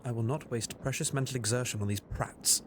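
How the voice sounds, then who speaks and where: A man's voice: mid-range voice, fairly quick, slight background noise, lightly acted. Haughty played by a man. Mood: disdainful. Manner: curt, haughty, deadpan. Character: aloof, arrogant, brilliant male consulting detective. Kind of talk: audio drama acting.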